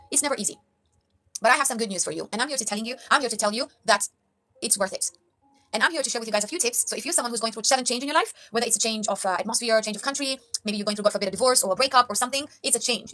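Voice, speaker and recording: Fast, bright-voiced woman from Kenya. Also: a little high. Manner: earnest, preachy, addresses viewers as guys. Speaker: life coach vlogger. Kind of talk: motivational talk to camera.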